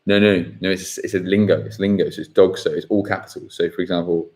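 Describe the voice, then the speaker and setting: A man hosting a live football quiz, reading questions slowly and repeating them. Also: low-pitched, little pitch movement, a little mellow. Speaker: quiz host.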